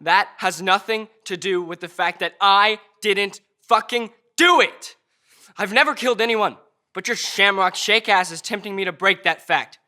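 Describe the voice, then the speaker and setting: A man's voice: sits high, normal speed, pushed and bright. A man playing a foul-mouthed, defiant sixteen-year-old boy in custody in an audio drama table read. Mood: angry, defiant. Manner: cocky, mouthy, slangy.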